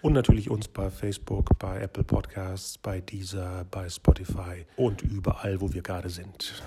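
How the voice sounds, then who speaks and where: A man's voice: sits low, little pitch movement, normal speed. Male podcast host, faint background noise. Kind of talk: casual podcast chat.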